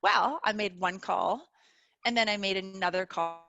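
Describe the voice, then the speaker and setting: A middle-aged woman hosting a webinar over a video call, talking earnestly with many pauses and fillers. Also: medium pitch, bright, forceful tone, no echo, highs cut off, soft background music. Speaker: webinar host. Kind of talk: casual chat.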